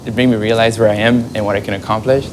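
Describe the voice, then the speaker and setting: A man's voice: fairly low pitch, flat delivery, racing, background noise. A man in his twenties from Brazil, talking candidly and laughing in a documentary interview. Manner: candid, laughing, chatty with fillers. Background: soft music score.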